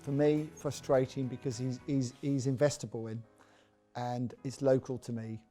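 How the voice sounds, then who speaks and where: A British man chairing a panel of investors, talking off the cuff as he introduces and discusses pitches. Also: flat delivery, normal speed, warm tone, soft background music.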